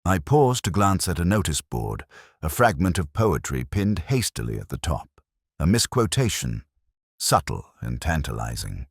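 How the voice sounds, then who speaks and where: Very deep-voiced man narrating a detective story. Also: big pitch swings, vocal fry, slightly rough, dry recording, studio quality. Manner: measured, reflective, formal. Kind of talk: scripted literary audiobook narration.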